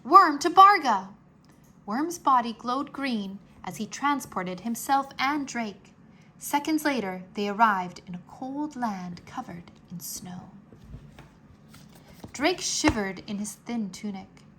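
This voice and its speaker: Female read-aloud narrator for a children's chapter book, fairly high-pitched voice. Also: takes it slowly, a bit bright, a little hiss or hum. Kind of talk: scripted children's story narration.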